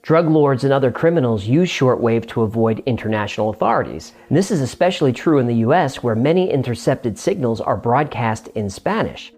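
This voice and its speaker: A man's voice: medium pitch, expressive, a little fast, few pauses, a little mellow. A man hosting a show and narrating in a scripted explainer style.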